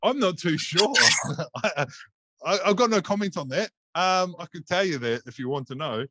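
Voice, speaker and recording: A man's voice: sits high, animated, normal speed, fairly bright tone, no room sound. A man in his thirties hosting a casual podcast, joking crudely and facetiously. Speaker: podcast host.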